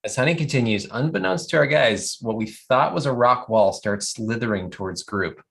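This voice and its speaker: Guy narrating cartoon script directions, mid pitch, storyteller vibe, kinda amused. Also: expressive, normal speed, gravelly, fairly bright tone. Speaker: stage-direction reader. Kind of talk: scripted narration at an animated comedy table read.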